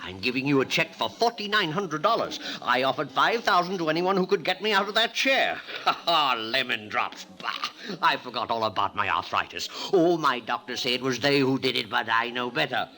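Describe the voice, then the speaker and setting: A man's voice: mid-range voice, animated, normal speed, hardly any pauses, sharp tone. An actor playing a gleeful, blustery rich old man with arthritis in an old radio drama. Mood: gleeful, triumphant. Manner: exclamatory, cackling, blustery. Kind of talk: 1950s radio drama acting.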